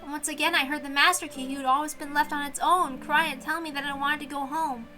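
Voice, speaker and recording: A woman reading a visual novel aloud in expressive story narration. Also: high voice, fairly quick, soft background music.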